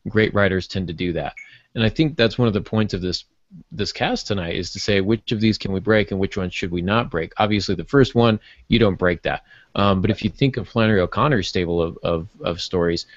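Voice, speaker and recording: A man talking through fiction writing as a podcast panelist in casual discussion, with a measured, halting delivery as he thinks aloud. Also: lowish voice, no room sound, dull audio. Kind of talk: literary discussion, casual chat.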